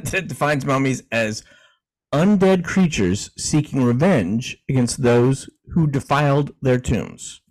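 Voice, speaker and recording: A man's voice: mid-range voice, animated, slow, a little mellow, rough recording. Easygoing man chatting on a podcast. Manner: easygoing, chuckling, frequent restarts. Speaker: podcast co-host. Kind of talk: casual chat, reading definitions aloud.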